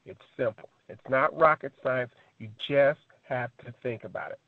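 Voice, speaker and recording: A man presenting a motivational network marketing pitch over a conference call, speaking emphatically in a repetitive, preacher-like cadence full of rhetorical questions. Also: moderate pace. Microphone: telephone conference call. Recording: tinny narrow phone line.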